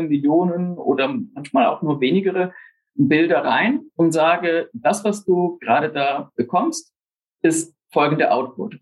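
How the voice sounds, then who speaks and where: A man in his forties with a slightly high, husky voice talks as a guest in a podcast interview. He is relaxed and chatty, fills his sentences with small fillers, and drops in dry self-mocking humor. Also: rough recording. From grew up in Brazil. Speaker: startup founder, podcast guest. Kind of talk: casual interview talk.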